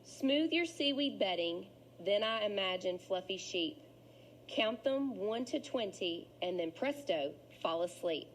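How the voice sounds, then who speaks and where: A woman reads as a drowsy lady clam in a bedtime storybook. She is helpful and soothing as she gives instructions. Clear noise sits behind the voice. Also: medium pitch, animated, measured pace, close to an everyday voice, rough recording. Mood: sleepy, helpful. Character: sleepy, helpful female clam giving bedtime tips.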